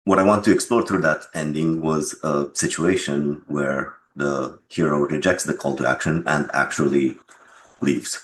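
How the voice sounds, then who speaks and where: A man explaining his creative choices in an interview, measured in manner, on a noisy mic with clicks. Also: very deep voice, steady tone. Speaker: game developer being interviewed.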